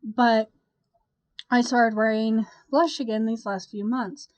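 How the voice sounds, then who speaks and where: A woman's voice: on the high side, steady tone, slow, darkish tone, no echo, muffled recording. A young woman vlogger, congested from a cold, chatting casually and rambling with a stuffy, nasal voice. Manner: chatty, rambling, with sighs and laughs. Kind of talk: casual chat to camera.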